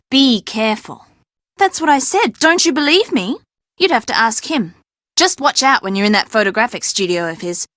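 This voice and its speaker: A chatty young woman for a video game, a playful fibber with sass and brains. Her voice sits fairly high and swings widely in pitch with emphatic drama. The sound is very dry, with no room around it. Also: fairly bright tone, dry recording. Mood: chatty, coaxing. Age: young adult. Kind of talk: video game character dialogue.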